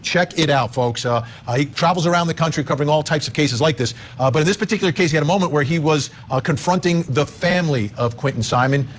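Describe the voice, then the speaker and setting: A man anchoring live news and interviewing in a conversational, slightly halting manner. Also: fairly high pitch.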